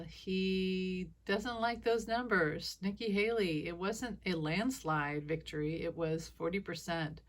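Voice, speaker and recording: A woman speaks alone into a phone, her voice scratchy from allergies and sitting a little low. She rambles from thought to thought in a loose, casual monologue, dropping chuckles along the way. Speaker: psychic commentator.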